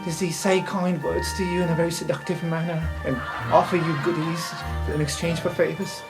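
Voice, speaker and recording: A woman's voice: on the low side, level tone, a little fast, over loud music, close to an everyday voice. A woman playing a sly, sardonic, wheedling noble sister in an improvised tabletop game. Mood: probing, defensive. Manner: wheedling, dryly sarcastic.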